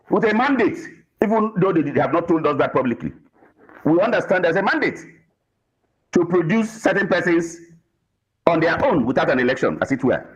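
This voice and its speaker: Male pundit, Nigerian, husky and fairly high, emphatic and deliberate, muffled lo-fi sound. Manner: emphatic, deliberate, repeats phrases. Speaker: panel guest, political commentator. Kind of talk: political commentary.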